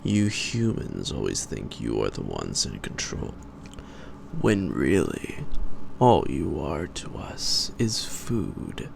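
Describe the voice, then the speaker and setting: A man playing a suave, psychotic gentleman vampire in an audio roleplay, pompous, taunting and predatory, with drawn-out and sometimes whispered delivery. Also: very animated, heavily acted. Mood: menacing, condescending. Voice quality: very deep, gravelly. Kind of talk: theatrical roleplay monologue to the listener. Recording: noisy amateur home recording.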